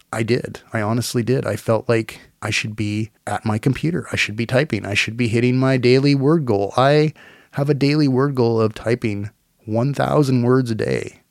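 A man in his forties, hoarse and nasal from a cold, talking in a rambling, self-deprecating way as he hosts a casual solo podcast. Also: on the low side, no echo, clean studio sound. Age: 40s. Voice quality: raspy, nasal. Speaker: podcast host. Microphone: home recording mic.

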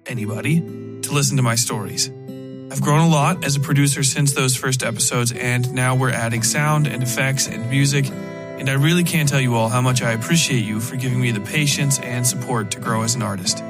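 A man's voice: mid-range voice, a little fast, over music. A man hosting a fiction podcast and narrating horror stories in a scripted storytelling style.

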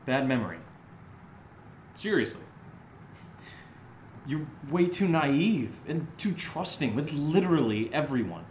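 A man's voice: a little high, very animated, slow, long pauses, darkish tone. A man acting an exasperated, suspicious young friend in an audio drama, speaking casually. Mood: accusing. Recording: roomy, faint background noise.

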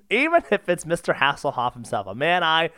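Male podcast co-host: bright, animated, playful and giggly, close dry sound. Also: fairly high pitch, normal speed, dry recording. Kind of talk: casual comedic podcast chat.

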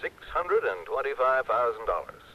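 Man acting animated insurance man, old radio. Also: medium pitch, normal speed, lightly acted. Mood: eager, teasing. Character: harried, chatty male insurance company man. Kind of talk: 1960s radio drama acting. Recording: dull, slightly noisy old radio broadcast.

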